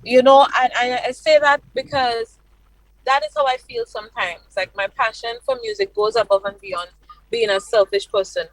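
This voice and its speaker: Grenadian female interview guest, effusive, highly animated intonation, close dry sound. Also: normal speed, vocal fry, no room sound, poor audio. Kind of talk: enthusiastic interview answers.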